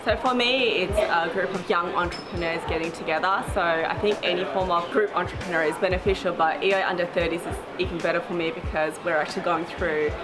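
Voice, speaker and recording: A young woman with a fairly low voice speaks in a chatty, reflective way. Loud music fills the background. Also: steady tone, a little fast, hardly any pauses. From Australia. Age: 20s. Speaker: entrepreneur. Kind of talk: interview testimonial.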